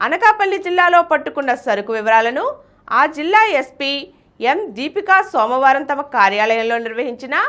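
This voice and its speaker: High-pitched, lively woman news reader. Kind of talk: scripted regional news reading.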